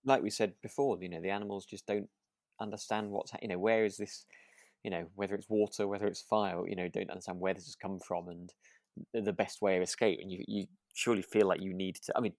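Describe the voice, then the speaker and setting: A man with a low voice, recorded dry. He talks casually as a podcast co-host. His delivery is tentative, often searching for the right word. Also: fairly even intonation, fast, darkish tone, no room sound. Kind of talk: relaxed casual discussion.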